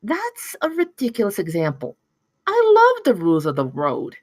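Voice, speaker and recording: A woman's voice: medium pitch, huge pitch swings, moderate pace, dry recording, clean studio sound. A woman reading the part of a stubborn, pretentious young campus security officer at a script table read. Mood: indignant.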